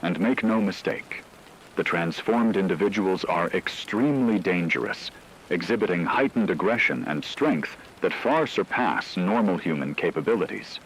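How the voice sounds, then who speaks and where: Man acting as deep-voiced, grave radio news anchor. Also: expressive, a little hiss or hum, low-quality recording. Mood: grave, ominous. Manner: solemn, ominous, measured. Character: grave male radio news anchor reporting a werewolf apocalypse. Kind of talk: scripted dramatic news narration.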